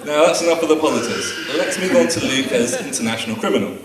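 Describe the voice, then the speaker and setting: A man's voice: a little high, lively intonation, fast talker, a crowd in the background. Best man teasing, echoey room. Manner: dry, teasing humour read from a prepared speech. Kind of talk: wedding speech. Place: wedding reception room. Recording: echoey room taped from far off.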